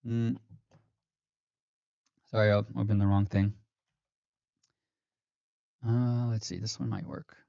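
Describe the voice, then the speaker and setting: Low-voiced man on a dull dry stream. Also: flat delivery, takes it slowly, gravelly, long pauses, no echo. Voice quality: slightly robotic-sounding stream voice. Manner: rambling, self-correcting, many fillers. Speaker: finance livestream host. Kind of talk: casual livestream walkthrough. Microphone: streaming mic.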